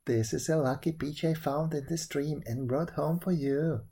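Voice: medium pitch, normal speed, mellow, breathy edge. A storyteller voicing a kindly old peasant woman in a storybook tale, homely and full of wonder. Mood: pleased, marvelling. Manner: homely, exclaiming. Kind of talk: storybook character voice.

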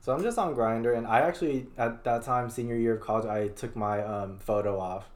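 A man's voice: medium pitch, fairly quick, a little mellow. Man, 20s, podcast co-host, casual and chatty. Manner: chatty, teasing, laughs often. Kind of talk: casual chat.

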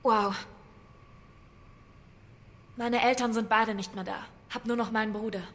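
A woman as a high-voiced plucky young heroine. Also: fairly even intonation, unhurried, fairly bright tone, in a large hall. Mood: gentle, sympathetic. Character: plucky, caring, defiant young woman student. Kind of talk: audio drama acting.

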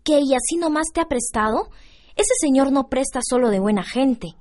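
Young studious woman, high pitch, Peruvian accent, blunt, questioning, radio drama. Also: expressive, normal speed, a little mellow. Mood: alarmed, skeptical. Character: outspoken, cautious studious young niece.

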